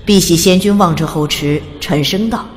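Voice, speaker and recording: Low-voiced woman narrating a fantasy audiobook. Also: moderate pace. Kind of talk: dramatic scripted fantasy narration. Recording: long reverb on the voice. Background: faint music.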